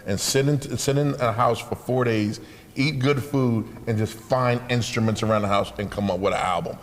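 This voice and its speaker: A man co-hosting a live morning talk show, loud and upbeat, often repeating himself and laughing. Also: mid-range voice, fairly even intonation, normal speed, echoey room, big-room sound.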